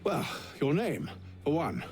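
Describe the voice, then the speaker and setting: An actor playing a composed, wry, educated English gentleman adventurer in a video game, with a British accent and a formal, dry manner. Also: a little high, big pitch swings, slow, a little hiss or hum. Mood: friendly, awkward. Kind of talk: video game cutscene acting.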